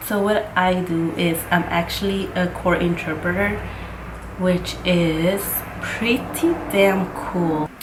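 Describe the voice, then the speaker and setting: A woman's voice: fairly low pitch, fairly even intonation, slow. A woman of twenty-five, a native Mixtec speaker and court interpreter, chatting casually and rambling through a makeup tutorial with lots of "like.". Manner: chatty and rambling, full of "like" and "freaking". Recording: roomy with faint ambient noise.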